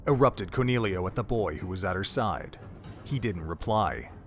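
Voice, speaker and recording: A man giving scripted story narration for an audio drama in a measured, even way. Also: sits low, over music.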